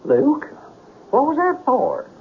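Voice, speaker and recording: A high, wildly swinging male voice on tinny radio. an anxious, puzzled sidekick in a western, full of flustered questions. There is a faint hiss behind him. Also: wildly swooping pitch, takes it slowly, exaggerated voice. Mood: flustered, worried. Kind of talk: western radio drama acting.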